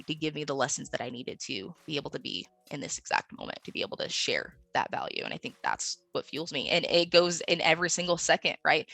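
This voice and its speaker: A woman's voice: low voice, vocal fry, pushed and bright, no echo. A woman speaking as a podcast guest in a casual, personal way, earnest and conversational, often checking for agreement. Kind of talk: casual, personal interview talk.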